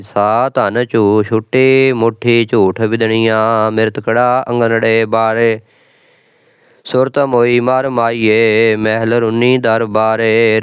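A man recites scripture in steady, measured phrases, slow and unhurried with little rise or fall. His voice is very clear. The sound is dry and thin, like a phone line. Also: on the low side, dry recording.

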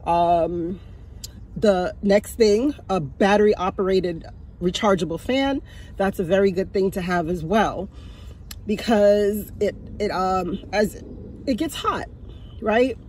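A woman's voice: medium pitch, slow pace, darkish tone, slight background noise. A woman in her fifties giving casual advice, talking into a phone held at arm's length in a parked car. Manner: chatty, chuckling, repeats for emphasis. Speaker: vlogger. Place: parked car, sunny day.

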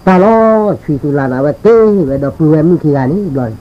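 A man's voice: on the high side, lively intonation, fast, dark tone. A man from northern Thailand, a native Karen speaker, telling a folktale aloud in an informal oral storytelling style. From Li district, Lamphun, northern Thailand. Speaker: folk storyteller. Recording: dull lo-fi recording with insect-like background noise.

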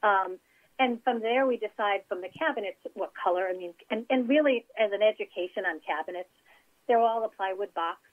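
A woman's voice: medium pitch, fairly quick. Woman designer on a tinny phone line. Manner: chatty, explains with practical advice. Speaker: interior designer, guest. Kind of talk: casual interview over phone.

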